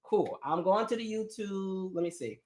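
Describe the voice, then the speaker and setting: An American man with a fairly high voice hosts a live stream from an echoey room. He talks casually and colloquially, thinking aloud and giving frank opinions as he responds to viewers. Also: animated, normal speed, a little mellow. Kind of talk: casual live chat, reading and answering viewer comments.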